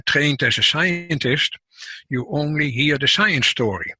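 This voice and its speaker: Older Dutch-accented man guesting on an interview, slightly husky voice, dry close sound, careful explanations. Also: on the high side, pushed and bright, dry recording. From Netherlands. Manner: measured, explanatory, frequent restarts. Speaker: guest scientist and author. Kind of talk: casual interview talk.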